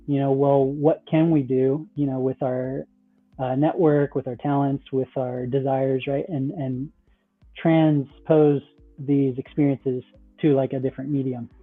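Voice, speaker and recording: Man on a tinny call line, rambling. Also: mid-range voice. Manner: rambling, many "like", "you know" and "right?". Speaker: guest, metaverse project founder. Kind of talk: casual podcast conversation. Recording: tinny phone-like call audio.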